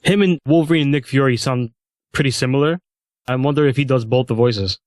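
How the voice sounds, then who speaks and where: Guy on a podcast panel, casual talk, average pitch, no echo. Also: brisk, a little mellow, clean studio sound. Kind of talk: casual chat.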